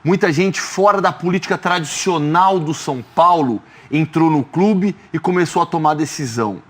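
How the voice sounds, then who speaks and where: A man, hosting a studio football debate and asking measured questions. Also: a little high. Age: 30s. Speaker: sports journalist host.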